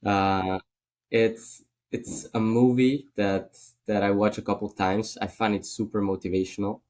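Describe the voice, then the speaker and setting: A man's voice: on the low side, level tone, a little slow, a little mellow, a little hiss or hum. A man from Italy with an Italian accent, a guest in a casual podcast interview, speaking thoughtfully with many fillers.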